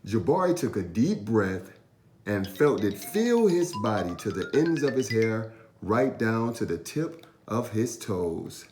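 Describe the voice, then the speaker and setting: A man reading a children's story aloud with expressive, animated narration and drawn-out emphasis. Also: lowish voice, roomy sound, faint music behind. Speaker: storytime reader.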